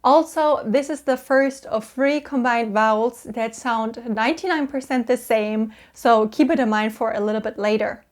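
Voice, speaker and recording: A young woman with a German accent, patiently explaining Korean vowels and repeating each sound slowly. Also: fairly high pitch. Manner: cheerful, patient, drawn-out sounds for repetition. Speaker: Korean language teacher.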